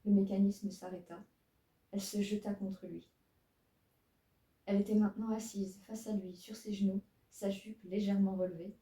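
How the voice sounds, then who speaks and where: A woman's voice: mid-range voice, fairly even intonation, moderate pace, mellow. A woman reading her own old fanfiction aloud, stumbling over her text and making self-mocking asides, recorded in a roomy room from a distance. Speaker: fanfiction reader.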